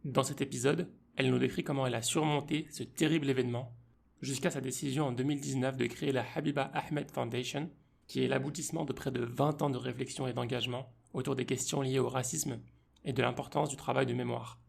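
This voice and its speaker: A man hosting a podcast, reading a scripted intro and then asking questions in a calm, steady way. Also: medium pitch, fast talker, creaky. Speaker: podcast host.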